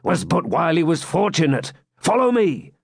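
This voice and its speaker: A man's voice: fairly high pitch, big pitch swings, normal speed, creaky, husky, no echo, studio quality, voice pushed up, exaggerated voice. A man voicing an eager, bold medieval swineherd who speaks in an urgent, emphatic, raised voice.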